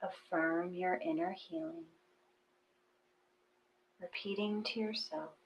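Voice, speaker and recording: A woman reading a gentle guided meditation in a soothing manner, in short phrases with pauses between them. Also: mid-range voice, very animated, highs cut off, a little hiss or hum.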